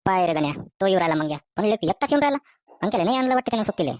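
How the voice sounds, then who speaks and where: A woman voicing an excitable, superstitious young village man with a rural Vidarbha Marathi accent in comic cartoon dialogue. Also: medium pitch, racing, no echo, studio quality, lightly acted. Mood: gossipy.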